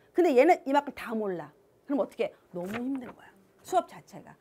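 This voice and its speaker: A woman's voice: fairly high pitch, darkish tone. A woman education coach with a Seoul accent, explaining to parents in a chatty way and asking rhetorical questions. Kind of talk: answering a parent's question in a talk.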